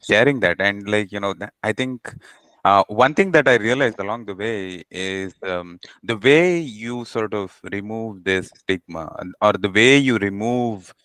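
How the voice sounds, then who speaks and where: A man hosting a conversational interview podcast, speaking in a halting, affirming way as he feels out his thoughts. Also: lowish voice, fairly bright tone, no echo. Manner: halting, affirming, frequent backchannels. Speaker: podcast host.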